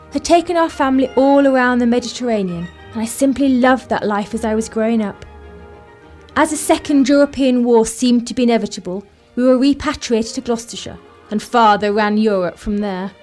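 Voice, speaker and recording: An actress voices a discontented young upper-class Englishwoman in a period radio play. Her voice sits fairly high and she speaks articulately, with a disdainful, sharp tongue. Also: close to an everyday voice. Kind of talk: radio play narration and acting.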